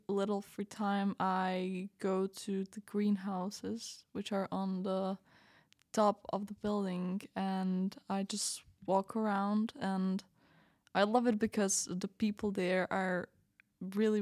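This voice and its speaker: A woman plays an earnest young trainee interviewed on a fiction podcast. Her voice is mid-pitched and slightly hesitant, recorded close and dry. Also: little pitch movement, slow pace, darkish tone, no room sound, natural voice. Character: Eden, earnest young female medical trainee.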